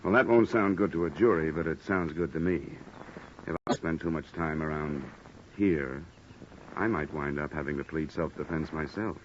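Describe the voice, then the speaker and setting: An American actor playing a cool, wisecracking hardboiled investigator in an old-time radio noir, with a dry, deadpan delivery. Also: male voice, rumbling low, normal speed, slight background noise. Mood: dry, probing. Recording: dull vintage radio recording, music behind.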